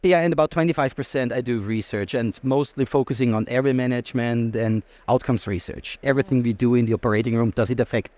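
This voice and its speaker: A man's voice: mid-range voice, fast talker, hardly any pauses, a little mellow, no room sound. A man from Austria with an Austrian accent, a native German speaker, explaining things emphatically as an interview guest. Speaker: interview guest, anesthesiologist and researcher. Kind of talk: conversational expert interview.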